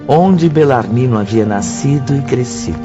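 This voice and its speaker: An actor narrating a radio drama as a solemn storyteller, in a measured literary manner. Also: male voice, medium pitch, expressive, natural voice. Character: solemn omniscient male storyteller. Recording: dull lo-fi audio under music.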